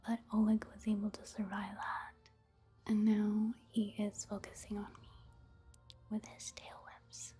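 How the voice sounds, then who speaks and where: A woman doing soft-spoken ASMR game commentary in a hushed, breathy whisper, gentle and unhurried. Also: medium pitch, monotone.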